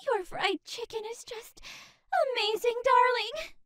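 A woman's voice: very high-pitched, a bit bright, no room sound, studio quality. A woman voicing a flirtatious, vain demon deity of pleasure in a comic dub, with theatrical, coquettish delivery. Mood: gushing. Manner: theatrical, coquettish, drawn-out endearments.

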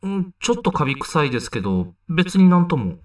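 A man playing a reluctant, flippant high-school newcomer in a comedic voice drama, protesting casually and sarcastically. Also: very animated, brisk. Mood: deadpan, puzzled. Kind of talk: comedic anime-style voice drama acting. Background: background music.

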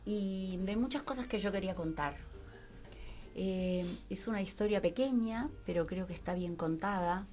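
An older woman with an Argentine accent, a guest actress chatting on a radio show, animated with frequent laughs and trailing fillers. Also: mid-range voice, moderate pace. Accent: Rioplatense Spanish. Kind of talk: casual radio interview. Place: small radio studio with foam walls. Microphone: studio mic and headphones.

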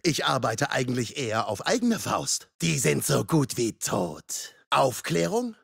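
A man voicing a taunting, sarcastic masked killer in a video game, with a husky, gravelly voice and a mocking, menacing manner. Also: mid-range voice, sing-song, a little slow, dry recording, studio quality, heavily acted. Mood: playful menace. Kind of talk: game combat voice lines in German dub.

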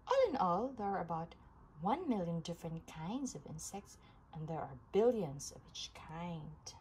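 Lady reading a kids science book out loud, really slow and sing-song up and down, some background noise. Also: fairly low pitch. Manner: animated storybook delivery with emphasis for young listeners. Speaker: reader of a children's book. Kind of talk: expressive read-aloud of a children's science book. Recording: clearly noisy home recording.

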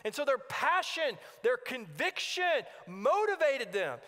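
A man's voice: very high-pitched, big pitch swings, a little slow, pushed and bright. A man preaching a sermon as a pastor, earnest and exhorting, repeating phrases for emphasis. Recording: long hall reverb.

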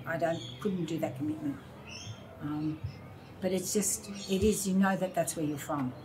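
A woman's voice: low voice, moderate pace, background noise. A woman in her sixties with an Australian accent, reminiscing in a casual interview and acting out the voices of people she quotes. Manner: animated storyteller, acts out quoted voices. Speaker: interview guest. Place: indoor room by large windows.

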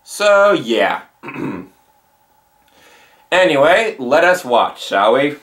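A man's voice: on the high side, big pitch swings, extremely slow, a bit bright. A man in his twenties giving casual reaction commentary as a video host, in a small room.

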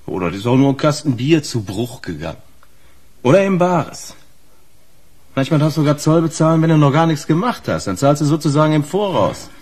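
An actor playing a gruff, wary truck driver in a radio crime drama, talking in blunt, colloquial slang. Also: male voice, a little high, sing-song, fairly quick, darkish tone. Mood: knowing, blunt.

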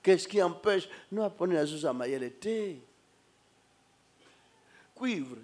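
A middle-aged man with a Congolese accent preaching an animated sermon into a stand microphone in a church hall, switching between Lingala and French. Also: sits high, slow pace. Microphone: handheld mic on a stand.

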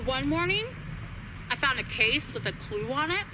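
An actress playing an airy, offhand beachcomber calling in to a radio show in a video game, smug and callous. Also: female voice, high-pitched, animated, moderate pace, background noise. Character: spacey, callous female beachcomber caller. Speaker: call-in listener.

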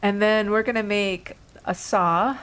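Slow-talking woman doing casual game commentary, recovering from illness. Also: medium pitch, steady tone, fairly bright tone, smooth. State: getting over an illness. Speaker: gaming video host. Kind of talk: casual let's play commentary.

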